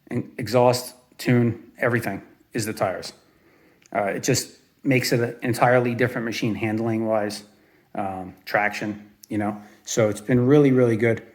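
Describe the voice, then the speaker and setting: A man in his forties from southern Arizona giving a casual, rambling walkaround review at home outdoors, full of fillers and restarts. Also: mid-range voice. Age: 40s. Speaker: vlogger reviewing his own quad.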